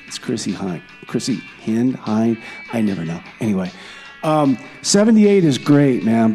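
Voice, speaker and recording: Casting: male actor as laid-back time-traveling caller, soft mellow tone, offhand rambling, faint guitar music underneath. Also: medium pitch, natural voice. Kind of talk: casual comedy radio monologue.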